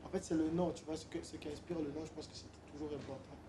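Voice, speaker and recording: A man's voice: on the high side, steady tone, fast, dark tone, a little hiss or hum. A young man from Benin, a musician guest, talking casually in an interview with many hesitant fillers.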